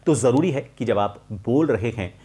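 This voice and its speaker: A middle-aged man explaining a lesson to viewers as a voice coach, in a deliberate, emphatic manner with clear pauses. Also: mid-range voice, lively intonation, warm tone. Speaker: voice-over artist and voice coach hosting a tutorial.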